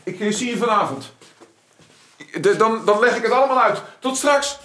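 Restless man in his thirties: evasive, halting, very animated intonation, naturalistic radio drama. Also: mid-range voice, slight background noise. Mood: flustered, hurried. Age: 30s. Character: restless, evasive male friend, a war criminal's son.

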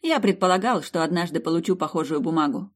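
A narrator voicing a bookish young man in a storybook, earnest, excitable and bashful. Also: medium pitch, fast talker, natural voice. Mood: excited, pleased.

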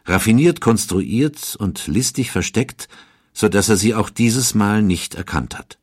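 Deep, husky male documentary narrator. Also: expressive, unhurried, a little mellow, no echo, clean studio sound.

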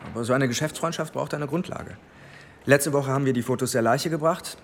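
A man's voice: mid-range voice, brisk, gravelly, natural voice. An actor playing a pushy, calculating, matter-of-fact male newspaper reporter in a radio drama. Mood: brisk, calculating. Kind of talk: German radio drama acting. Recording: noisy crowded hall behind some scenes.

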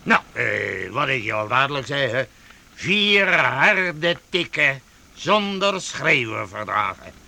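A man acting in a Dutch radio play as a rough, jovial leader of a medieval vagrant band, bossy and halting. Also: medium pitch, sing-song, pushed and bright, heavily acted. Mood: hearty, commanding. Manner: hearty, bossy, halting with interjections.

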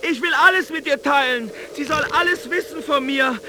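A man's voice: very high voice, fairly quick. An actor playing an earnest, intense young man in love, thinking aloud in short insistent phrases in a radio drama. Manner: short insistent questions and declarations. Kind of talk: radio drama inner monologue. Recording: slightly noisy, background ambience.

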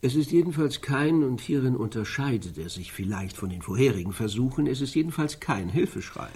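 A man in a German radio play acting a solemn, insistent analyst, with a very husky, creaky voice and deliberate, repetitive emphasis. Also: mid-range voice, lively intonation, darkish tone. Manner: deliberate, emphatic, repeats himself. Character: solemn male analyst dissecting a woman's life, insistent.

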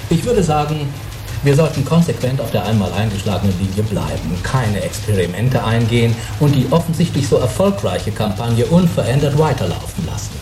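A man acting as a brisk, authoritative executive who chairs a meeting in a German radio drama, speaking formally and decisively. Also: medium pitch, animated, roomy sound, background noise, close to an everyday voice. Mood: businesslike.

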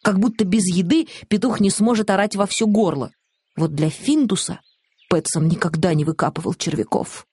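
Husky woman reading a children's story. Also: lowish voice, moderate pace, darkish tone, no room sound, studio quality. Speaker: audiobook narrator. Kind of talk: scripted narration of a children's story.

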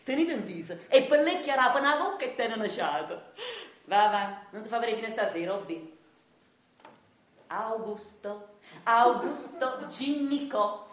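Radio play casting: a bossy, brawling Neapolitan washerwoman, high voice, scolding and mocking, recorded in a roomy echo. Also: sing-song, moderate pace, slight background noise. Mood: derisive, amused. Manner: brash, scolding, mocking, breaking into laughter. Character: fierce domineering Neapolitan washerwoman matriarch who beats her husband. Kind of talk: theatrical radio drama monologue in dialect.

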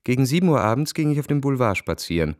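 An actor playing a suave, cynical, bored young aristocrat in a radio drama, narrating and speaking with smooth, ironic detachment. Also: male voice, medium pitch, big pitch swings, very fast, dry recording, studio quality, close to an everyday voice. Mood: wry, detached.

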